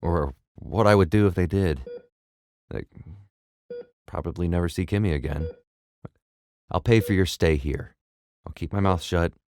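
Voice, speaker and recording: A man performs a worried middle-aged dad in an audio drama. His extremely low voice is measured and firm, with some hesitation. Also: darkish tone, no room sound. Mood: firm bargaining.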